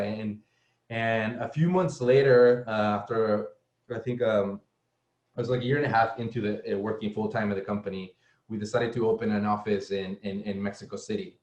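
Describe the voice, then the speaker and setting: A man's voice: lowish voice, echoey room, lo-fi sound. A young man from El Salvador, speaking as a guest on a casual panel and often stumbling over repeated words. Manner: halting, many repeated words and fillers. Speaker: guest speaker, software engineer.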